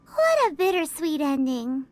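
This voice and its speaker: A tiny game fairy with an extremely high, childlike voice. She is perky and cheeky, swooping freely in pitch over prominent music. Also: sing-song, a little slow. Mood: cheerful. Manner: perky, exclamatory. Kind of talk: anime-style video game acting.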